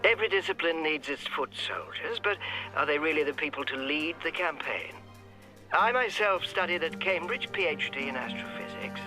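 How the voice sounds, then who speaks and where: An actor playing a pompous, condescending scientist in a radio drama, clipped and superior in manner. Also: male voice, a little high, lively intonation, normal speed, pushed and bright, soft background music, rough recording. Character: pompous, snobbish scientist, cold and condescending rival.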